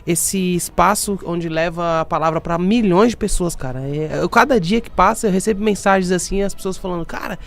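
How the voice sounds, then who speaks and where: A man's voice: sits high, fairly quick, vocal fry, few pauses. A man hosting a podcast in casual chat, rambling with many restarts and echoing his guest. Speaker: podcast host.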